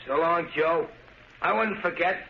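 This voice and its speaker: A man's voice: a little high, unhurried. An American man playing a simple, obedient shell-shocked war veteran, childlike and eager, in old radio drama style. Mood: dazed, eager. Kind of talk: 1930s-40s radio acting. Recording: muffled, noisy old radio recording.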